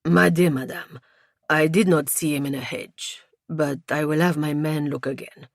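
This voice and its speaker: A man's voice: a little high, fairly even intonation, moderate pace, vocal fry, dry recording, clean studio sound. Woman voicing a haughty French police inspector. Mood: dismissive. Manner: formal, stilted English sprinkled with French.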